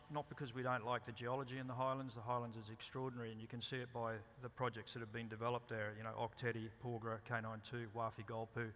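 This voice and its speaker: An Australian man giving a company presentation at a conference, speaking haltingly with many ums and restarts. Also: medium pitch, a bit bright. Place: conference hall. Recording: reverberant hall.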